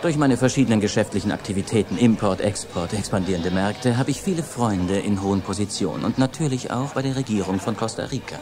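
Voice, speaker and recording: A man's voice: lowish voice, fast talker, few pauses, over music, lightly acted. An actor playing an eager, talkative middle-aged businessman, persuasive and a little hesitant, in film-style dialogue. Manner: hesitant fillers, ingratiating salesman talk. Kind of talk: German-dubbed film dialogue.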